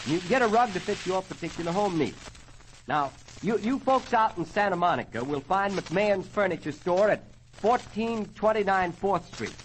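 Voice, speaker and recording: A man with an American accent announcing an old-time radio show in folksy, theatrical cowboy slang. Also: sits high. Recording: noisy old radio recording.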